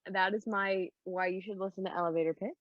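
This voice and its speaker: A woman's voice: mid-range voice, steady tone, fast, dry recording, muffled recording. A woman chatting casually in a group podcast.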